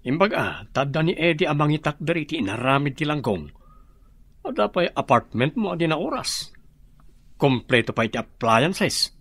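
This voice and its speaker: A man's voice: a little high, sing-song, moderate pace, a bit bright, slightly rough, dry recording, full character voice. A man playing a gossipy, frank friend and confidant in a radio drama. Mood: impressed, gossipy. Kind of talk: Ilocano radio drama acting.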